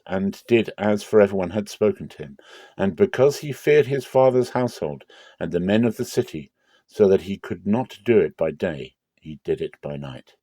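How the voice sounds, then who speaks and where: Low, mellow man reading scripture dramatically. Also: no room sound. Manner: solemn, theatrical storytelling. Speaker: narrator.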